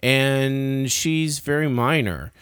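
A man's voice: medium pitch, takes it slowly, a bit bright, clean tone. A man giving a casual, opinionated film review as a podcaster, often stammering and repeating words as he starts.

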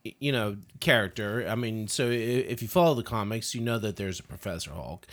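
A man on a podcast panel casually discussing a film, halting and often repeating his words. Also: lowish voice. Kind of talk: casual movie review discussion.

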